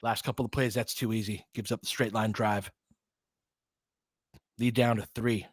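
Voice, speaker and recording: A man's voice: lowish voice, steady tone, normal speed, husky, no echo, clean studio sound. A man hosting casual live basketball commentary and analysis, thinking aloud in a conversational way. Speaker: host, fan play-by-play commentator.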